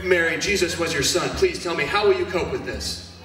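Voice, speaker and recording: A man's voice: a little high, a little fast, a bit bright, lightly acted. A man acting an urgent news reporter in a church stage drama, asking probing, insistent questions. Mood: pressing. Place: church hall stage performance. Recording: very echoey hall, distant mic.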